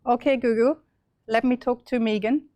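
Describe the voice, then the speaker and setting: A young woman with an Austrian accent presenting a live software demo, chatty and full of fillers and restarts. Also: on the high side, fairly even intonation, moderate pace, dry recording. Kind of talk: live tech demo walkthrough.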